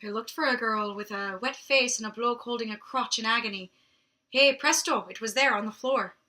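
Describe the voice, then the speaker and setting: A woman voicing a cocky, flirtatious male vampire in an attempted Irish accent, with a quick, smug delivery. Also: a little high, bright, forceful tone.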